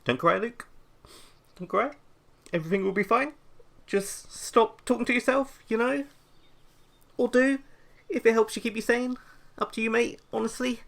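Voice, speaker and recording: A man's voice: very high voice, normal speed, vocal fry, long pauses, a bit bright, exaggerated voice. A man playing an anxious, sarcastic young intern who talks to himself nervously in an audio comedy. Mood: self-soothing. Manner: nervous, hesitant, self-talking. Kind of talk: scripted audio comedy drama.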